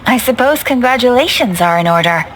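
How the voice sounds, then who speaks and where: A woman's voice: a little high, lively intonation, brisk, noisy background, natural voice. A woman playing a composed, courteous young guild receptionist in a video game, speaking in polite, formal phrases. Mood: gracious. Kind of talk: video game character acting.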